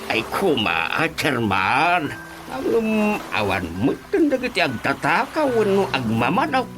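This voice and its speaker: A man playing an earnest village elder who raises poultry, in a radio drama comedy, speaking deliberately and haltingly with an Ilocano accent. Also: a little high, wildly swooping pitch. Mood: halting, insistent. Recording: music under the voice.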